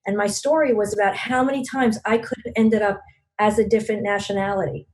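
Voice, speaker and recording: A woman's voice: mid-range voice, fairly even intonation, brisk, a little mellow. A woman from Long Island, a guest in a podcast interview, telling stories earnestly with many fillers and restarts. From Long Island, New York. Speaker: guest, regional business network leader.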